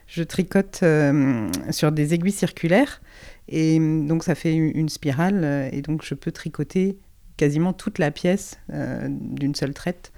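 A woman in her forties speaking calmly and thoughtfully about knitting. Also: low voice, a little slow. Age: 40s. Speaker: knitter sharing her experience. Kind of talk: casual chat. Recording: instrument music behind.

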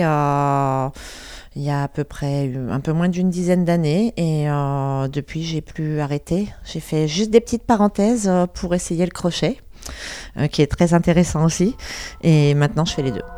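A woman in her forties chatting casually about her knitting, relaxed and laughing often. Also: low-pitched, normal speed, clean tone, dry recording, studio quality. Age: 40s. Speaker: knitter sharing her experience. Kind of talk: casual chat.

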